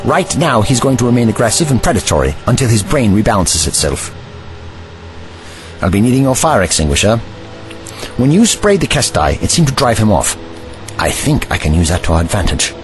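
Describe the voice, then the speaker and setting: A man's voice: fairly low pitch, very animated, brisk, soft background music. A man playing an older, commanding and protective time traveler in an audio drama, speaking formally and precisely in an avuncular way. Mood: calm, firm. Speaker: actor in a sci-fi audio drama.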